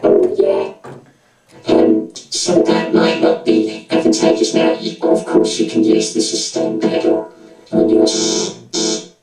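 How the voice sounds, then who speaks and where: A man's voice: very high-pitched, monotone, takes it slowly, over loud music. A man speaking through a vocoder that turns his voice into a synthesized robotic sound, giving an informal demonstration. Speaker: synthesizer tutorial presenter. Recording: echoey, loud synthesizer tones in voice.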